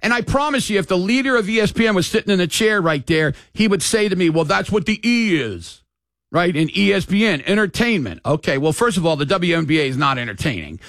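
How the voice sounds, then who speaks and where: A man's voice: high-pitched, animated, fast, fairly bright tone, dry recording. An older man hosting sports talk radio, rambling and opinionated in a casual way.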